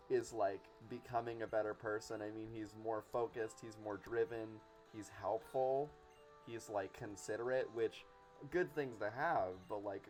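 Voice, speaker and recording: A man's voice: lowish voice, a little mellow. A man in an enthusiastic, rambling, casual chat as a podcast guest. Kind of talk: casual chat about cartoons. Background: game music.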